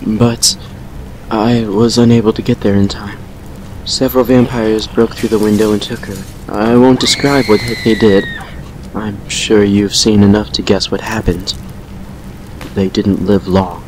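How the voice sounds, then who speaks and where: A man playing a brooding vampire who recounts a painful past with measured regret in an audio drama. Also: on the low side, little pitch movement, noisy background, close to an everyday voice. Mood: grim, regretful. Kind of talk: fan fiction audio drama monologue.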